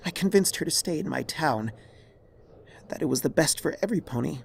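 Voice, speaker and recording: A man voicing a dying, regretful pony mare, a remorseful former tyrant, in a weary, halting, husky voice for a dramatic story. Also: fairly high pitch, lively intonation, voice pushed up, exaggerated voice. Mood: weary, grave. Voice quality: raspy, husky, creaky.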